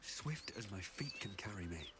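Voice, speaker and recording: An actor voicing a wry, steady male adventurer hero in a video game, speaking in dry quips. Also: lowish voice, moderate pace, very noisy, lightly acted. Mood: determined.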